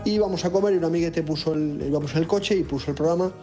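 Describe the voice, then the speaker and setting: A man's voice: a little high, fast talker, dark tone, dull audio. A man with an accent from Spain leaving an informal, rambling voice message as a listener. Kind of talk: casual voice message. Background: music.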